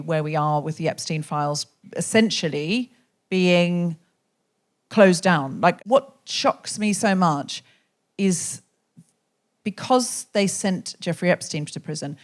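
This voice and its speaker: Woman, low-ish pitch, slow measured delivery, podcast host, conversational interviewing.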